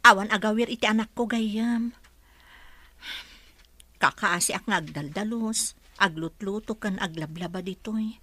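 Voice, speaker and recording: A woman's voice: medium pitch, a little slow, long pauses, sharp tone, dry recording. A woman with an Ilocano accent playing a weary, pleading young mother who works as household help, in a radio-style drama. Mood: pleading, hurt.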